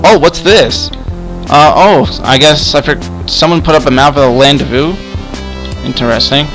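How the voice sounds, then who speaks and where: A man's voice: a little high, moderate pace, fairly bright tone, soft background music, lightly acted, rough recording. A man playing a curious, polite boy adventurer in an improvised game roleplay, stammering and repeating words. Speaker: host of a Minecraft roleplay series.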